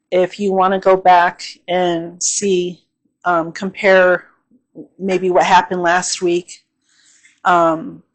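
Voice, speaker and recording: Slow, low-voiced woman astrologer, slightly hoarse. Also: low-quality recording. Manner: unhurried, with frequent "um"s and "okay?" check-ins. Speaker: astrologer and channel host. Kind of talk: casual astrology forecast.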